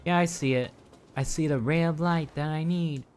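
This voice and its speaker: A man's voice: fairly high pitch, steady tone, moderate pace, darkish tone. A man doing casual, rambling live commentary on a video game, playfully chatting to his viewers, with game music behind him. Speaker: game streamer.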